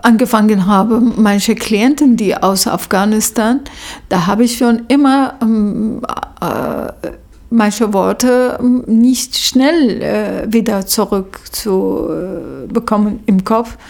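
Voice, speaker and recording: A woman's voice: medium pitch, takes it slowly, vocal fry, darkish tone. A woman whose first language is Arabic, working as a social worker, answering interview questions in a hesitant way with many fillers and restarts.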